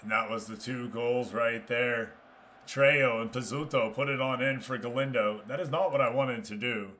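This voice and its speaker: A man's voice: mid-range voice, fairly even intonation, moderate pace, a bit bright, a little hiss or hum. A man hosting a livestream, giving casual game commentary and chatting informally with viewers. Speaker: livestream host.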